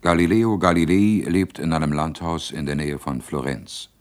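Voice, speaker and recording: A very deep-voiced sober narrator. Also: male voice, normal speed. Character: sober male chronicler narrating events. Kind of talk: scripted radio play narration.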